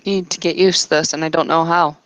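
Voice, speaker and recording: A woman's voice: low-pitched, steady tone, no echo, lightly acted, rough recording. A woman playing a nervous, apologetic character in a tabletop roleplay, speaking hesitantly. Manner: hesitant, halting questions. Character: nervous, apologetic young woman newly enchanted to see Fae.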